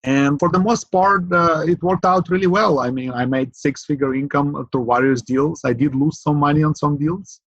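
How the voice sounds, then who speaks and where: A middle-aged man from Ukraine, a podcast guest, talking in an earnest, measured way with fillers, often asking "right?". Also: a little high, no room sound, dull audio. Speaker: guest, real estate investor. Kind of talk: podcast interview.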